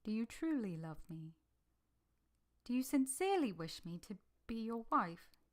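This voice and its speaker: A woman's voice: on the high side, big pitch swings, measured pace. A woman playing an earnest, humble but passionate young governess in formal literary language, in a vintage-style radio drama. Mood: doubting, tender.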